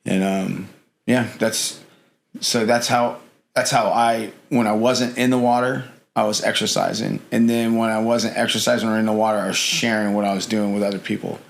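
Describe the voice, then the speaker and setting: A man's voice: lowish voice, little pitch movement, normal speed, vocal fry. A middle-aged man talking as a podcast guest in casual interview chat, rambling with lots of fillers and "you know".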